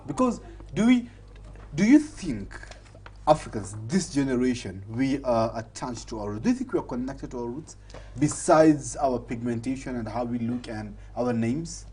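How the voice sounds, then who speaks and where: A man's voice: medium pitch, expressive, moderate pace, warm tone, slight background noise. A man hosting a studio talk show, playful and teasing as he questions his guests.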